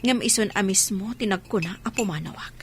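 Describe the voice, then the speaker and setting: A woman playing a heartbroken, gentle young mother in an Ilocano audio drama, speaking in a sorrowful, pleading way. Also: medium pitch, a little fast, a little hiss or hum, natural voice. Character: heartbroken, gentle young mother leaving her marital home.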